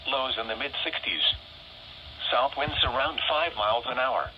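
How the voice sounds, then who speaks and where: A man's voice: mid-range voice, animated, normal speed. A man reading a scripted weather forecast in formal, clipped phrases over a radio. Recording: muffled weather radio, loud room noise.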